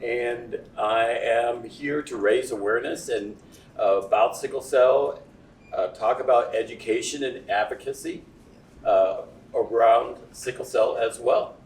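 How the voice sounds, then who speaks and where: A man's voice: medium pitch, unhurried. A man in his sixties introducing himself on a panel as an advocacy group leader, speaking unscripted. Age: 60s. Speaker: panelist, task force president. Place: indoor event venue, panel talk. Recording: echoey room, distant mic, faint noise.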